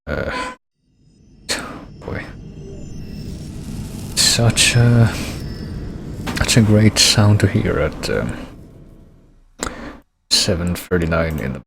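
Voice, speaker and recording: A man's voice: low-pitched, sing-song, extremely slow, vocal fry, pauses often, background noise. A man streaming a video game, rambling and thinking aloud as he plays. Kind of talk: gameplay commentary.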